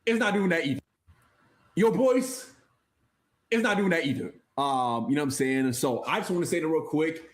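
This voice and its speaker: A man's voice: a little high, lively intonation, moderate pace. A man from Sweden whose first language is Swedish, making a guarded statement in loose, filler-heavy speech.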